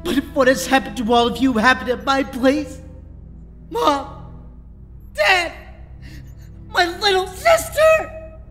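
A man's voice: very high-pitched, lively intonation, slow, long pauses, fairly bright tone, heavily acted. An actor playing a shell-shocked young male superhero in an audio drama. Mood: shocked, tearful. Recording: long echoing reverb, music.

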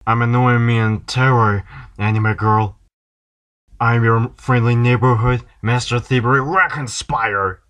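A man's voice: medium pitch, fairly even intonation, measured pace, a bit bright. A man acting out voice clips as a brash, wisecracking masked superhero for an amateur fan cartoon. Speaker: amateur voice actor.

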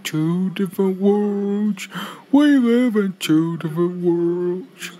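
A woman's voice: mid-range voice, slow pace, warm tone. A woman performing an amateur fan dub of a country music show, singing and speaking character lines. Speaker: amateur fan dubber performing a bear stage revue. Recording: music playing behind.

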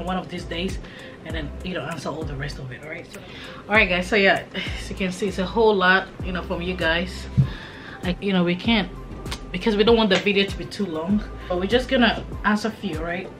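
A woman's voice: lowish voice, a little fast, over music. Chatty Ghanaian-born woman vlogging. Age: 30s. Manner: animated, chatty, frequent "all right?". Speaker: family vlogger host. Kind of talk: casual chat to camera. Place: indoor room at home.

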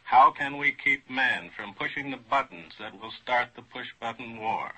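A man's voice: medium pitch, expressive, moderate pace, pushed and bright, husky, low-quality recording. A man speaking formally and earnestly as an academic on a 1940s radio panel.

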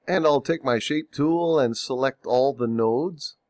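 A man getting over a cold, narrating a software tutorial over a screen recording, unhurried and thinking aloud with ums and self-corrections. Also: medium pitch, no echo, clean studio sound.

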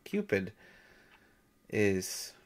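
Audio drama role, male actor: shy male god posing as substitute teacher, lowish voice, very slow halting delivery, gentle storyteller. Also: very animated, heavily acted. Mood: thoughtful, playful. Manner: hesitant, nervous, halting with soft chuckles. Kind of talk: intimate audio roleplay, storytelling lecture.

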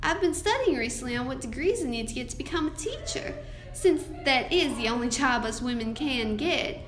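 A woman's voice: high voice, animated, a little fast, noisy background. A young woman playing an earnest, hopeful thirteen-year-old frontier girl in a recited storytelling monologue. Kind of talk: scripted first-person monologue. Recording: roomy, echoing amateur recording.

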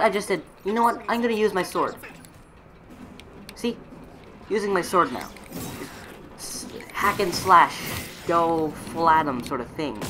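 Young man rambling over gameplay, music behind. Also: high voice. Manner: rambling, self-mocking, chatty. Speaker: gaming video creator. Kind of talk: casual voiceover commentary on gameplay. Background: game music.

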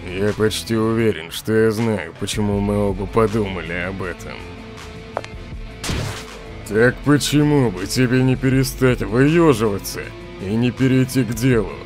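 A man voicing a grumpy, suspicious, foul-mouthed skeleton henchman in a comic dub, gruff and irritable. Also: fairly low pitch, measured pace, a little mellow, soft background music, lightly acted. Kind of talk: fan comic dub acting.

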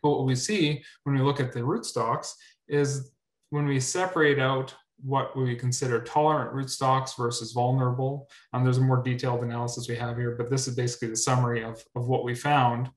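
A man's voice: medium pitch, level tone, a little fast. A young man presenting research on a video call, repeating words often with many ums. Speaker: researcher presenting at a grower meetup. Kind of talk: webinar presentation. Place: indoor room. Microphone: webcam on video call. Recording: roomy echo.

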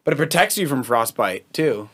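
A man's voice: medium pitch, animated, moderate pace, a bit bright. A man giving casual, playful commentary as a co-host while playing a video game, sometimes slipping into sing-song asides. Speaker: let's play co-host, father playing with his child. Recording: game music behind the voice. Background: video game music.

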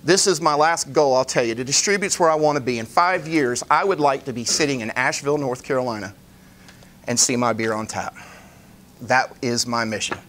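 A man in his forties presenting a business pitch live in a meeting room, plain-spoken, in short emphatic phrases. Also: a little fast, fairly bright tone. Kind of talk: informal live presentation with slides. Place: indoor meeting room, standing at a lectern.

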